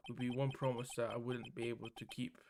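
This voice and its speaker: A man voicing an earnest, inwardly wry young male lawyer from a visual novel, a little halting. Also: medium pitch, little pitch movement, noisy background, voice pushed down, natural voice. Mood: reflective. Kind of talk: visual novel read-aloud.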